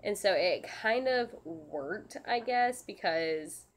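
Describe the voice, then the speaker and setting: A woman with a stuffed-up nose and a scratchy throat from a sinus infection, hosting a casual live question-and-answer stream, speaking in a halting, earnest way with frequent restarts and ums. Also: medium pitch, expressive. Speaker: service dog handler and channel host.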